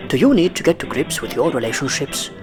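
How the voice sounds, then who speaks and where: A man's voice: a little high, sing-song, quick pace. A man playing a slick announcer who reads a rhyming spoof radio advert in a declamatory sales style. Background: music.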